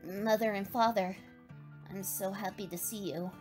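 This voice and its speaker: A woman playing a calm, reserved young pony squire in an animated series, formal and understated. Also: mid-range voice, moderate pace, lightly acted. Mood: quietly pleased. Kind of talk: animated fan series voice acting. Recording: music behind the voice.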